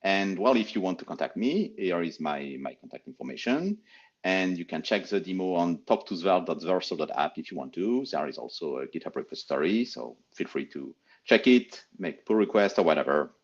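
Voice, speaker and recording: A man's voice: low voice, very animated, fairly quick, reverberant, muffled recording. A man with a French accent, a native French speaker, giving a live conference demo and calling out voice commands between explanations.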